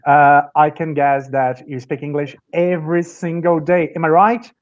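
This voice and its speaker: A man's voice: on the high side, flat delivery, normal speed, highs cut off. A Brazilian man speaking English with a Brazilian Portuguese accent while hosting an online panel, encouraging his guests with short questions. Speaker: English teacher, host.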